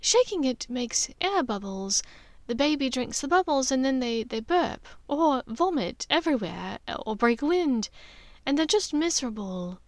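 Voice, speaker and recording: A woman's voice: high-pitched, expressive, a little slow, dry recording, voice pushed up. A woman voicing a refined, reflective mother in an audiobook, speaking hesitantly and confidingly. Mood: knowing. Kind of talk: audiobook character dialogue.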